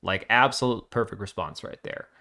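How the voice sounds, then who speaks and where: A middle-aged man hosting a live stream, chatting casually and reacting to chat with quick jokey asides. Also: fairly low pitch, creaky, sharp tone. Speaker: livestream host.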